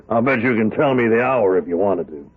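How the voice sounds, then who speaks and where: A man's voice: on the low side, animated, normal speed, breathy edge. An actor playing a paternal but stern prison warden in a radio drama, hearty and impatient in his lecturing. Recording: muffled, tinny old radio broadcast.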